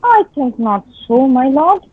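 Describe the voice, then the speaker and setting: A woman's voice: high voice, sing-song, a little slow, no room sound. A woman playing a devoted young page in a theatrical Shakespearean dramatic reading, earnest and deferential. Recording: tinny phone-like, dry close sound.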